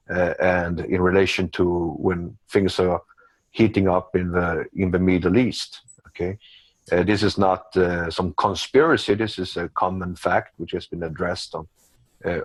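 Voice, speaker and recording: Male commentator, non-native English speaker, lowish pitch, dry close sound, circling and repetitive delivery. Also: slightly rough, no room sound. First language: not English. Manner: rambling, repetitive, with frequent check-in tags. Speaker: guest commentator. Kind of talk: unscripted political commentary.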